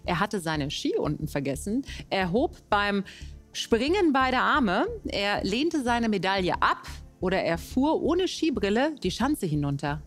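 A woman hosting a quiz show, reading out questions and explaining answers in a brisk, playful way. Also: medium pitch, big pitch swings, soft background music.

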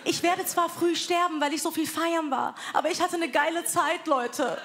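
A woman's voice: very high-pitched, fairly even intonation, normal speed, heavy background noise. A woman in her thirties doing brash, self-mocking stand-up comedy on a live stage. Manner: brash and self-mocking, announces acts energetically. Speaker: comedy show host and comedian. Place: live comedy club with audience. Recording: live stage mic, crowd laughter.

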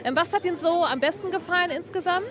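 A woman's voice: very high-pitched, fast, a crowd in the background. A woman reporting on location for TV from a museum ball pit, chatty and often adding tag questions.

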